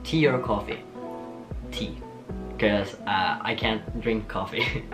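Slow, giggly young Norwegian man. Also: lowish voice. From Norway. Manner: relaxed, giggly, lots of ums and restarts. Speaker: vlogger. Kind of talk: casual get-to-know-me chat. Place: small bedroom studio with shelves and posters. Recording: soft guitar music underneath.

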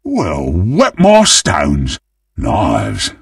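A man voicing a greedy, gleeful, monstrous undead butcher in a video game, with a gravelly, husky British-accented voice and a menacing, theatrical manner. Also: very deep voice, wildly swooping pitch, drawn-out words, no echo, clean studio sound, recorded from a distance, heavily acted. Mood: gloating relish. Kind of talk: video game character voice lines.

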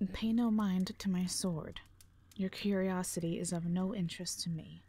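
A woman's voice: lowish voice, fairly even intonation, measured pace, warm tone. A woman playing a brash, defiant mercenary in an audio drama, with a blunt, sardonic manner. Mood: sardonic, firm. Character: brash, defiant female mercenary, protective and unimpressed.

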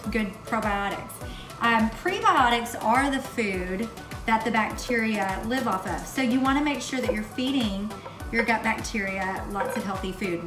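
Chatty high-voiced woman in an echoey kitchen. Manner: chatty, explains as she works. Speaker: host of a cooking show. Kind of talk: casual instructional cooking demonstration. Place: home kitchen, indoors. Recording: echoey kitchen, far from mic, music bed.

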